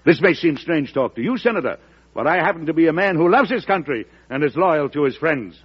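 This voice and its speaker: An actor playing a principled older American president in a radio drama, speaking in a formal, deliberate, oratorical way. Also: male voice, fairly high pitch, animated, slightly rough. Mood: stern, lofty. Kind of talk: 1940s radio drama acting. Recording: dull vintage radio recording.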